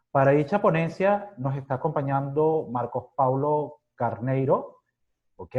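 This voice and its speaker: Male moderator, formal and polite, mid-pitched voice, dull muffled audio. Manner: polite, formal, some fillers. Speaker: webinar moderator. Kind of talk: formal introduction.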